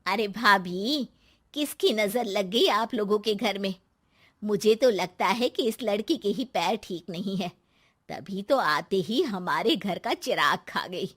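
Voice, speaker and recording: A woman's voice: medium pitch, lively intonation, slow pace, fairly bright tone, husky, heavily acted. A woman voicing a spiteful, gossipy aunt who blames others in a family story. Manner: accusing, gossipy.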